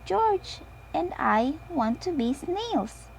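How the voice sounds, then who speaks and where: A woman voicing an excitable, playful little girl pig from a children's storybook, in an eager manner. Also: sits high, extremely slow, creaky, a little hiss or hum, pitched above the performer's own voice, exaggerated voice, poor audio.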